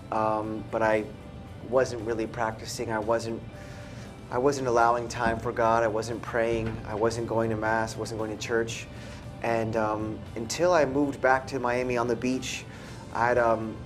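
A man from the United States speaking casually as an interview guest, easygoing and laid-back. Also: medium pitch. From United States, after early childhood abroad. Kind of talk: casual chat.

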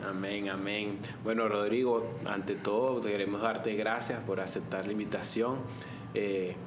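A man's voice: fairly low pitch, steady tone, unhurried. A man co-hosting a religious talk show, devoutly leading a prayer and welcoming a guest. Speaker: co-host. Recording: roomy room with background noise.

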